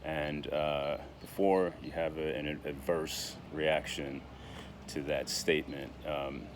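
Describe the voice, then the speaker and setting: A man in his sixties with an extremely low voice that hardly rises or falls. He talks in a halting, casual way, full of fillers and restarts. Steady outdoor noise with passing vehicles sits clearly behind him. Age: 60s. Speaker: vlogger. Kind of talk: casual vlog monologue.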